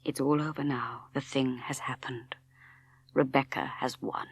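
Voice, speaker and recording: A woman voicing a tormented middle-aged Englishman in an audiobook, speaking in a hushed, grave, halting and intense way with an English accent. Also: rumbling low, fairly even intonation, husky, no echo, pitched below the performer's own voice, full character voice. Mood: bleak despair. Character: grim, tormented middle-aged Englishman confessing to his wife. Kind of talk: dramatic audiobook character reading.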